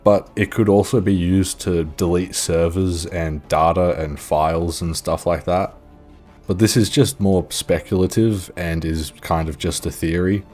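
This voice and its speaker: A man with a very low voice narrates an explainer casually, with faint music behind him. His delivery is informal and offhand, like an unpolished video host talking to viewers. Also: fairly even intonation, measured pace, a little mellow.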